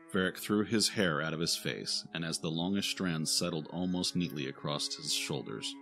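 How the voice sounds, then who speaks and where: A man's voice: extremely low pitch, vocal fry, a bit bright. A man reading scripted narration for a fantasy audio drama. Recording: music behind the narration.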